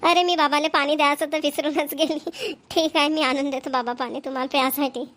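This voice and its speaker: A woman's voice: high voice, fairly even intonation, fairly quick, a bit bright. A woman playing a cheerful, dutiful young married daughter in a cartoon, with a rural Maharashtrian Marathi accent and an eager, exclaiming manner. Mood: delighted. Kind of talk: Marathi cartoon family comedy voice-over.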